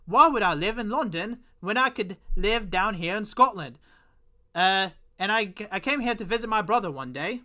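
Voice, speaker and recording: A man's voice: high-pitched, expressive, normal speed, sharp tone, voice pushed up, exaggerated voice. A man putting on a pompous mock-British gent. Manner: exaggerated, put-on fake British delivery. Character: pompous chatty British gentleman, mock-posh. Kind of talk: comic impression on a live stream.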